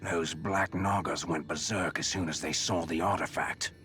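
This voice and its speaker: A man's voice: sits low, fairly even intonation, moderate pace, a bit bright, faint music behind. An actor playing a shaken male survivor in a fantasy video game. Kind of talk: dramatic game voice acting.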